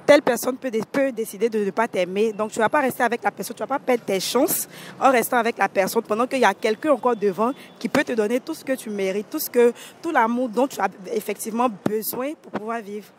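A woman's voice: a little high, fast, slight background noise. A young woman answering a street interviewer at night, explaining her view earnestly with a few restarts, into a handheld mic. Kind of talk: vox pop answer. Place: city street at night beside traffic. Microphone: handheld mic held by interviewer.

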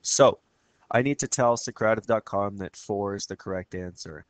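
A man who teaches, talking casually through a screen-recorded software tutorial, his voice a bit raspy from a cold, with plenty of fillers and restarts. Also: lowish voice, normal speed, dry recording. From Canada. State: has a cold, slightly raspy.

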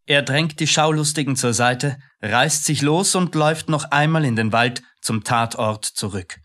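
Male radio play narrator, medium pitch. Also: measured pace, fairly bright tone.

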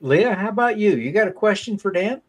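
A man in his fifties hosting a live pitch show on a video call and handing over to questioners. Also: lively intonation, brisk.

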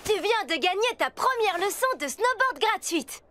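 A woman's voice: very high voice, lively intonation, normal speed, sharp tone, no room sound, heavily acted. A woman playing a peppy, confident young builder and coach in a French-dubbed children's cartoon, with encouraging instruction. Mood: teasing, coaching. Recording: music bed behind.

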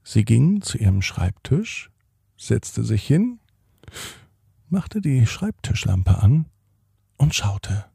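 A man hosting a children's podcast, gently reading a bedtime story in a calm, soothing way. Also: mid-range voice, takes it slowly, creaky, long pauses, breathy edge, dry recording, clean studio sound.

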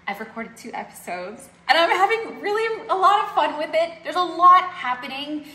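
A woman's voice: very high-pitched, lively intonation, moderate pace, sharp tone, reverberant. A woman in her thirties hosting a casual home tour vlog, gushing and chatty with lots of fillers. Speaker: vlog host. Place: outdoor garden, then indoor home.

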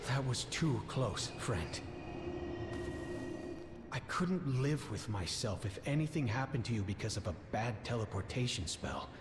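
Animated, sheepish mage companion. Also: male voice, mid-range voice, unhurried, noisy background. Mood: sheepish relief. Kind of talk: fantasy game voice acting. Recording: loud game ambience over voice.

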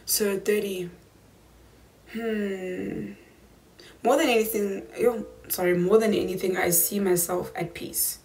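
A woman's voice: medium pitch, fairly even intonation, slow, creaky, darkish tone. A twenty-year-old woman with a South African accent, chatting emphatically to camera in a casual Q&A vlog from a small room. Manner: chatty, emphatic, slangy asides. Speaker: YouTuber.

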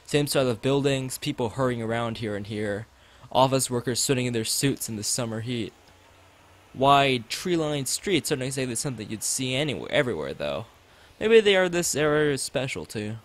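A man's voice: medium pitch, lively intonation, moderate pace. A man live streaming a visual novel, reading its text aloud and drifting into rambling asides to the chat. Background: background game music.

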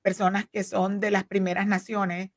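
A woman's voice: mid-range voice, flat delivery, normal speed, dry recording, studio quality. A woman in her forties, a legal counsel, explaining earnestly with many fillers and restarts, recorded on a video call from a home office. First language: Spanish. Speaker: immigration legal counsel, guest. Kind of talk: explanatory interview talk. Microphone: desk microphone on video call.

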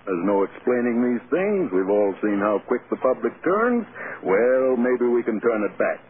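An actor playing a patient, tactful male film producer, measured and frank, in a vintage radio drama. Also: medium pitch, big pitch swings, husky. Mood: reassuring. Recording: scratchy, tinny old radio broadcast.

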